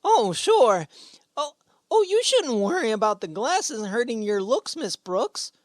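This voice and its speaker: A woman acts a gawky teenage schoolboy in a radio comedy. His voice is high and swoops about as he earnestly reaches for big words. The sound is dry and close. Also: big pitch swings, unhurried, darkish tone, no echo. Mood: flustered. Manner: stammering, wordy, earnest. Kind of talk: old-time radio sitcom acting.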